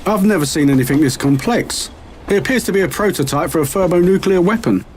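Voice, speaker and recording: A man's voice: high-pitched, lively intonation, quick pace, darkish tone. A man playing a mild, helpful expert in an amateur radio play. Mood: helpful, tentative. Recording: noticeable background noise.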